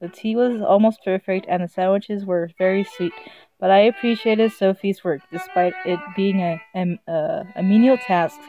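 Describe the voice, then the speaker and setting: A woman's voice: mid-range voice, normal speed, dull audio, faint music behind. A woman streaming a visual novel, reading it aloud casually, often stumbling over the words in a giggly way. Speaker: game streamer.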